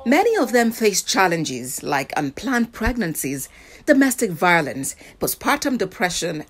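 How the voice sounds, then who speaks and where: A woman's voice: on the low side, a little hiss or hum. A woman with a Nigerian accent reading a scripted news report as the reporter.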